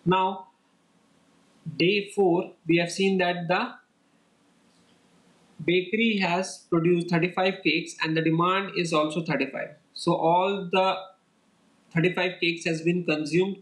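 A man with a slightly raised pitch talks a class through a worked problem on slides. He moves one step at a time and repeats each point in a steady, patient way. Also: a little slow, long pauses, low-quality recording. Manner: steady, repetitive step-by-step explaining. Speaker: lecturer. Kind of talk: slide-based lecture walkthrough. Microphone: voice-over over slides.

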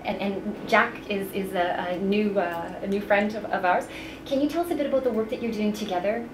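A woman's voice: medium pitch, a little fast. A woman talking casually in a discussion. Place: indoor meeting room. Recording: echoey, noisy room, distant mic.

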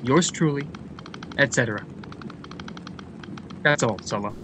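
An actor playing a brisk, eager factory general manager in a radio drama, formal and articulate. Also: male voice, lightly acted. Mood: formal, dictating. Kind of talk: stage-style radio drama acting. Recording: noisy radio drama recording, steady rumble.

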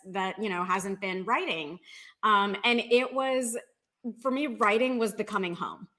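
A woman's voice: fairly high pitch, bright, forceful tone. A woman speaking casually as a guest author in an interview over a video call. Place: indoor room with bookshelves, video call.

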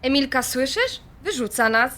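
Bright-voiced, defiant adult daughter. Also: female voice, high voice, big pitch swings, normal speed, a little hiss or hum, exaggerated voice. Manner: sarcastic, confrontational. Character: impulsive, defiant adult daughter confronting her father. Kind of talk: audio drama acting.

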